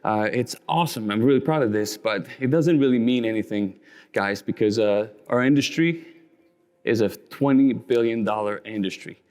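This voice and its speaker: Male speaker, passionate pep talk, mid pitch, video call. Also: a little fast, darkish tone, clean tone. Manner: earnest, passionate, rhetorical questions. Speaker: guest speaker, roofing company leader.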